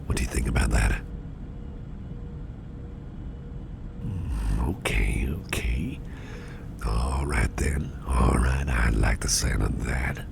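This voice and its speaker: A man playing an attentive, easygoing businessman boss in a soft, breathy, whispery ASMR roleplay, unhurried and soothing, murmuring close to the microphone. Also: noisy background, heavily acted. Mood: warm, indulgent. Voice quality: very low, gravelly, breathy near-whisper. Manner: unhurried, soothing, indulgent, musing aloud.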